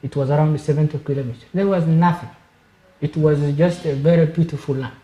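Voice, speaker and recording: A man from Somalia, a guest on a panel discussion, speaking in a measured way and repeating words while he searches for them. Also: a little high, steady tone, warm tone, roomy sound, lo-fi sound. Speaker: studio guest.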